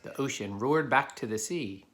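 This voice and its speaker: A man's voice: medium pitch, expressive, normal speed, a bit bright, soft background music. A man reading a children's picture book aloud, explaining things simply as he talks to a child. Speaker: adult reading to a child helper. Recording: clear background noise.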